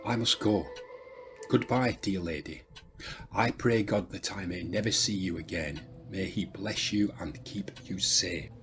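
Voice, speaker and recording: Man playing a low-voiced, unsettled asylum lunatic. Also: measured pace, over music. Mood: nervous, polite. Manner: formal, abrupt, shifting from polite to agitated. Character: unsettled male asylum lunatic, courteous but eerie. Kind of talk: radio drama acting.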